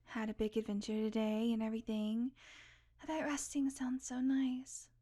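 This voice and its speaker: A woman's voice: a little high, flat delivery, warm tone, close to an everyday voice. A woman playing a sardonic, blunt vampire who is guardedly caring and coaxing, in an intimate audio roleplay. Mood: soothing, hypnotic. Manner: sarcastic, blunt, coaxing, sighing, deliberate pauses.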